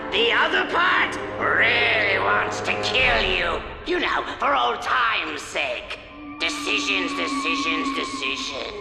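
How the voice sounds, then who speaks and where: A man's voice: high-pitched, very animated, takes it slowly, gravelly, reverberant, long reverb tail, over loud music, heavily acted. A man voicing a theatrical, short-tempered robot supervillain in a video game, gloating and ranting melodramatically. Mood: gloating menace.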